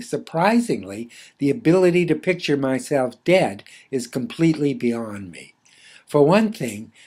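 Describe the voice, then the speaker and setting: A man reading his own philosophical essay aloud in a measured, deliberate, formally literary way. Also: medium pitch, a little mellow.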